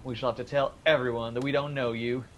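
An actor playing a cheeky, teasing, sceptical young grown son in a radio drama. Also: male voice, mid-range voice, level tone, quick pace, highs cut off, natural voice. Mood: playful, breezy. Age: young adult. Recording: faint background noise and ambience.

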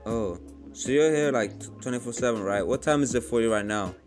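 A young man chatting loudly while gaming, laughing, repeating himself and swearing a lot. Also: mid-range voice, fairly quick, over music. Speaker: vlogger. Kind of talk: rowdy casual gaming chat.

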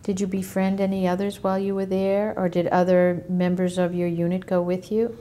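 A woman asking questions as an oral history interviewer, measured and attentive. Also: fairly low pitch, little pitch movement, mellow.

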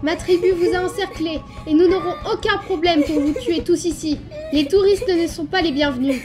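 A woman's voice: extremely high pitch, normal speed, music in the background. A woman voicing an anxious, kind-hearted, determined young child in a French comic dub, earnest and emotional. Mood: threatening.

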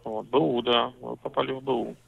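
Man, car salesman, gravelly voice, phone line sound, businesslike, patient. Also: medium pitch, level tone, a little fast. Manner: patient, businesslike, mildly argumentative.

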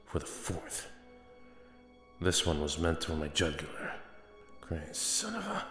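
Brooding vigilante hero, played by a man: low, slow, terse, echoing with long reverb over music. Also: steady tone. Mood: tense, focused. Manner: terse, brooding inner monologue. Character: grim, embattled male vigilante superhero. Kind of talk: comic book dub voice acting.

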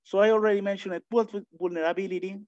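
A man from Mexico with a Mexican accent, giving a philosophy lecture online, thinking aloud with many restarts and lively asides. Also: high-pitched, quick pace. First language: Spanish. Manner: thinking aloud, frequent restarts and filler sounds, animated asides. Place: home room, indoors. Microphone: video call webcam. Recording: dull lo-fi video call audio.

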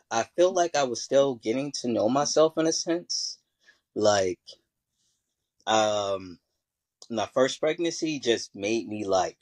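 Low-voiced hesitant young man. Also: no room sound. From Virginia. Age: 20s. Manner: soft-spoken, hesitant, many fillers. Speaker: panel guest. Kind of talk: casual personal storytelling.

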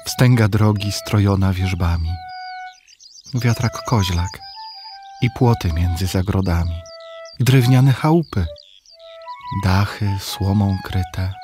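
An actor playing an earnest, bashful village bachelor in a rhymed children's radio play, measured and wistful. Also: male voice, sits low, over music.

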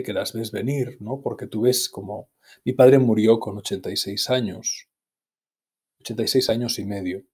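A man in his fifties from Spain, speaking with a Spanish accent as an interview guest, telling personal memories in a calm, measured way over a video call from a home study. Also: medium pitch, breathy edge. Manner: calm, measured, reflective, restarts words. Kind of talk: reflective personal storytelling. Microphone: earphone mic on video call.

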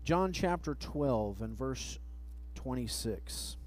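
Man, middle-aged, preacher, pulpit microphone, sermon, measured scripture reading, average pitch. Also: animated, darkish tone, a little hiss or hum, low-quality recording. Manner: measured, reads verses slowly, trails off at phrase ends. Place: church sanctuary, at a pulpit. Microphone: pulpit microphone, far camera.

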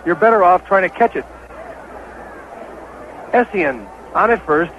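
An American man calling baseball play-by-play on 1970s radio as an excitable, partisan announcer. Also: high voice, a little slow, a faint crowd. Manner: excitable, partisan home-team caller. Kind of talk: 1970s live radio sports commentary. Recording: dull, noisy vintage radio broadcast.

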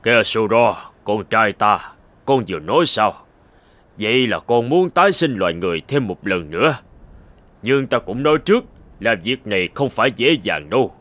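A man playing a grave, fatherly old ruler of the gods in an animated myth, stately and cautioning. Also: on the low side, moderate pace.

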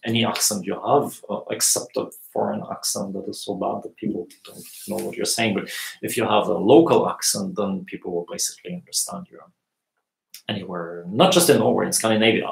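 Gravelly-voiced man teaching from home. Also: on the low side, a little fast. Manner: relaxed, thinking aloud with many fillers. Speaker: language teacher. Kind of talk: live Q&A lesson. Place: small room indoors. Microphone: webcam. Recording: echoey room.

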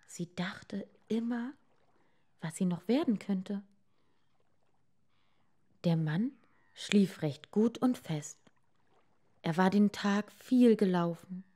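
Female storyteller for bedtime fairy tales: mid-pitched, slow, expressive, deliberate pauses. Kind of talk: bedtime fairy-tale narration.

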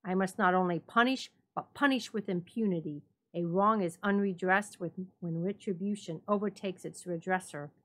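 A woman narrating a classic story as a dramatic reading, measured and deliberate. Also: fairly low pitch, fairly bright tone.

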